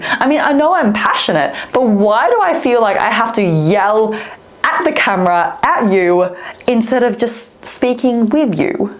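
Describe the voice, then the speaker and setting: An upbeat woman speaking coach talks straight to the camera in a chatty, expressive way. Her first language is Vietnamese, and her voice sits at a middle pitch for a woman. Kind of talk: direct-to-camera coaching talk.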